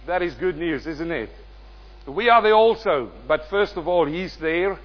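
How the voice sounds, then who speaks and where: A male guest preacher delivering a sermon and prayer, quizzing the congregation and swelling loud in prayer before dropping soft. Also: on the high side, animated, measured pace, dull audio. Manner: calls out questions to the congregation.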